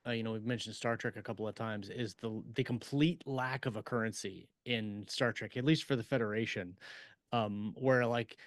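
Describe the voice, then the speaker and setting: Halting man in a dry, close recording. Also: lowish voice, steady tone, dry recording. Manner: halting, tentative, thinks aloud. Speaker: podcast co-host, science fiction author. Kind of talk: casual chat about writing craft.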